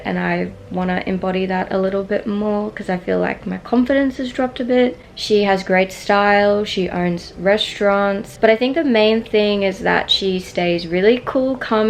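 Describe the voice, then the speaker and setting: A young woman from Singapore with a strong Australian accent, narrating her vlog in a relaxed, chatty way full of "like". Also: medium pitch, slight background noise, rough recording. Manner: relaxed, chatty, many "like" fillers. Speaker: vlogger. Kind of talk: casual voice-over narration.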